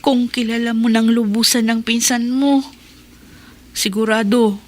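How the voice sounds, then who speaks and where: A woman's voice: a little high, steady tone, measured pace, lightly acted. An actress in a radio drama playing an anxious young married woman who pleads with her husband. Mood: uneasy. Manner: pleading, uneasy.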